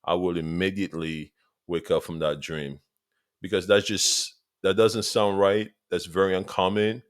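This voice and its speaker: A man's voice: low-pitched, fairly even intonation, moderate pace, no echo, studio quality. A man in his thirties from Congo, with a Congolese accent, eagerly talking football straight to his viewers in a casual vlog and repeating points for emphasis. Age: 30s. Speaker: football vlogger.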